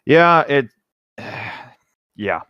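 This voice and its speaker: A man's voice: medium pitch, very animated, very slow, smooth, no room sound, lo-fi sound. A man co-hosting a read-along, talking casually in a loose, rambling way and reading passages of a novel aloud. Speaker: co-host of a book read-along show.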